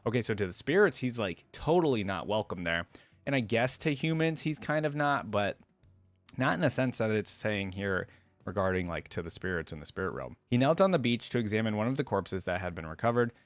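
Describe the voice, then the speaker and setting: A man with a fairly low voice hosts a lore reaction in a casual way, reading story text and commenting on it. The sound is dry, with very little room in it. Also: big pitch swings, a little fast, dry recording, soft background music. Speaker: reaction video host reading lore aloud.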